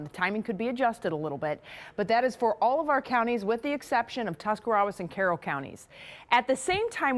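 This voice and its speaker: A woman meteorologist presenting a live weather forecast in a conversational, explanatory way. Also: mid-range voice. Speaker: television chief meteorologist.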